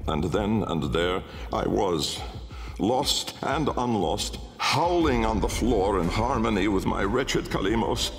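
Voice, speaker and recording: An actor playing an old, haunted, learned nobleman-scientist in a video game, delivering a grave, measured gothic monologue with a gravelly voice. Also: on the low side, expressive. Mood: haunted, frantic. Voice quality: gravelly, creaky. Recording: long cavernous reverb under music.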